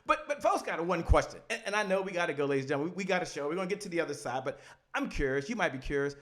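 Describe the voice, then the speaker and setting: A middle-aged man with a fairly high voice hosts a relaxed interview from his home studio. He speaks into a close boom microphone and talks with lively energy, gesturing as he goes. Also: very fast. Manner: animated, gestures with hands while talking. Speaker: show host. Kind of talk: casual green-room interview. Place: home studio with poster behind. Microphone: studio mic on boom arm.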